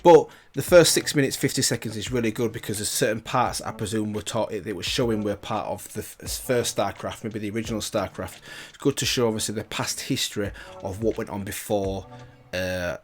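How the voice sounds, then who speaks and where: A man's voice: lowish voice, fairly quick. A man hosting a reaction video, reviewing casually and thinking aloud in a rambling way.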